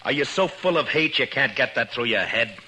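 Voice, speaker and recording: An actor playing a dogged, stern frontier marshal in a 1950s western radio drama, questioning tersely and wryly on a noisy old recording. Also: male voice, medium pitch, lively intonation, fairly quick, bright, forceful tone, husky, exaggerated voice. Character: male frontier marshal, dogged, wry, stern about the law.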